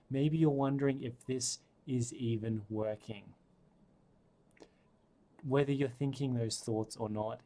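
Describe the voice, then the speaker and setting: A man guiding a breathing meditation, speaking softly and soothingly with long pauses. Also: mid-range voice. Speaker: meditation guide.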